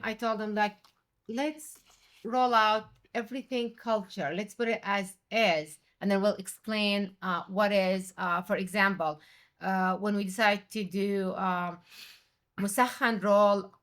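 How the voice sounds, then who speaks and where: A middle-aged woman from Jordan, a restaurant owner and chef, speaking English as an in an animated, conversational interview. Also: mid-range voice, measured pace. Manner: animated, quotes others with raised voice.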